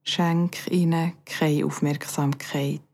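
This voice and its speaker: A woman with a Bernese Swiss German accent guides a meditation as a yoga nidra instructor, calm and soothing, with long pauses and softly breathy phrase endings. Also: low voice.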